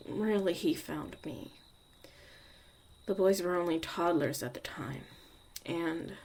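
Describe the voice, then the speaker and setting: A woman playing a young witch mother, a tough, protective and caring survivor, in an intimate, halting, confiding ASMR roleplay monologue. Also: lowish voice, gravelly, darkish tone, a little hiss or hum.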